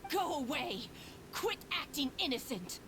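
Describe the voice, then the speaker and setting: A woman's voice: high voice, big pitch swings, unhurried, noisy background. Hostile townswoman. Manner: sharp and accusing. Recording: crowd chatter behind.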